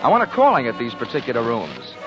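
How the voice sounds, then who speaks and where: A man's voice: mid-range voice, wildly swooping pitch, fast. A man acting a wry, wisecracking insurance investigator in a 1940s radio drama, clipped and hard-boiled. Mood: wry narrating. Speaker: lead actor and narrator of a radio detective drama. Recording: muffled 1940s radio recording with music.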